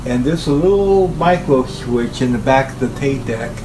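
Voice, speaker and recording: A man giving an informal, halting talk about equipment as a hobbyist presenter, speaking in short phrases. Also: medium pitch, lively intonation, background noise. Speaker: hobbyist presenter showing his tape machines.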